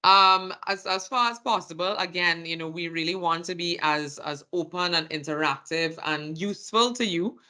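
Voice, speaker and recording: A speaker from Trinidad and Tobago with a Trinidadian accent, giving a workshop presentation in short, halting phrases. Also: medium pitch, bright, forceful tone. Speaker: communications presenter.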